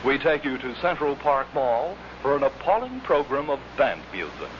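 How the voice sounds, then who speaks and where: Animated male announcer, noisy old radio. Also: medium pitch, normal speed, dull audio.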